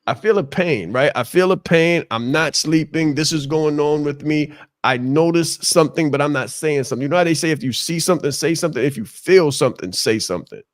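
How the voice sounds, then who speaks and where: A man with a naturally loud, projecting voice, coaching on a video call with passion and emphatic repetition. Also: on the high side, a little fast, few pauses, no room sound, studio quality. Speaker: leadership coach. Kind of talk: motivational talk on a live show.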